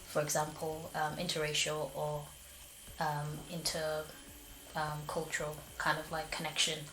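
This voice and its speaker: A young woman from Malawi and England gives a reflective interview in a roomy, echoing space. Her voice is low for a woman and stays quite flat. She phrases her thoughts tentatively, feeling her way through each answer. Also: unhurried. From born in Malawi, grew up there and in Manchester, England. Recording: echoey room.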